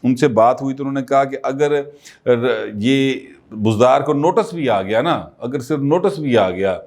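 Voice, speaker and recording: A man's voice: medium pitch, darkish tone. Chatty political commentator, man. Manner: conversational, many filler pauses.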